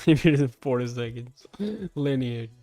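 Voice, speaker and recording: A man's voice: mid-range voice, expressive, normal speed, mellow, soft background music. A man reading a webtoon aloud and reacting casually, exclaiming and swearing with mocking disbelief. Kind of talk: casual live reaction and read-along.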